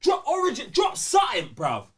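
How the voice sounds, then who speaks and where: Animated, high-pitched London man ranting. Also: moderate pace. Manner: ranting, emphatic, repeats phrases for emphasis, slangy. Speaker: podcast host. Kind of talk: heated casual gaming podcast banter.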